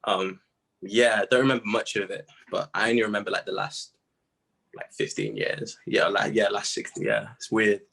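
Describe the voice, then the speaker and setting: A man's voice: lowish voice, animated, normal speed, gravelly, fairly bright tone, slightly rough. A young man from Zimbabwe, chatting casually and laughing often on a video call. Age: young adult. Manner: slangy, laid-back, laughs often. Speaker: musician guest. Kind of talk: casual interview chat.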